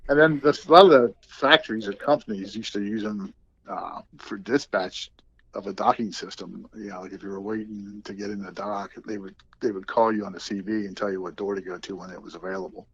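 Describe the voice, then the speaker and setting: An older man with a lowish voice talks casually about his working life as a truck driver. He is plain-spoken and unhurried. The recording is dull and a bit muffled. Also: fairly even intonation, slightly rough. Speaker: veteran truck driver, podcast guest. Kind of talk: casual chat, reminiscing. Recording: dull, muffled low-fidelity audio.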